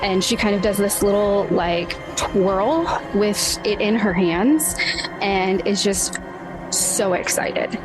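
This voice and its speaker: Female roleplayer, casual improvised narration, unhurried and halting, mid pitch, music bed. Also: poor audio. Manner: hesitant, casual, thinking aloud. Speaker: player in a tabletop roleplay show.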